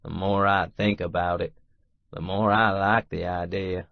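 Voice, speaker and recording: Slow, low-voiced man narrating fiction. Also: flat delivery, creaky, no echo, dull audio. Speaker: audiobook narrator. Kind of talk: first-person scripted fiction narration.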